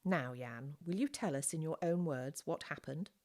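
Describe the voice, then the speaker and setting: Army captain, female narrator acting, male, official, patient, measured questioning. Audiobook dialogue. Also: low-pitched, mellow, natural voice.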